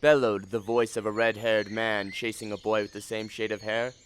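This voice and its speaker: A man's voice: lowish voice, level tone, normal speed, a little hiss or hum. A man reading scripted story narration for an audio drama.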